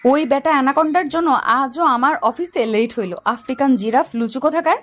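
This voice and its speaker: A woman plays a feisty young female office worker in a romantic audio story. Her voice is high and emotional, that of a lovesick girl given to grumbling. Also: brisk, fairly bright tone. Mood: annoyed.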